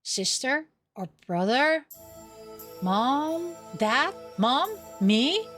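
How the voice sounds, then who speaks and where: A woman's voice: high-pitched, very animated, very slow, fairly bright tone, soft background music, heavily acted. A woman voicing an optimistic, careless young female roommate in an emotive way for a visual novel. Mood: distressed. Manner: emotive, scatterbrained.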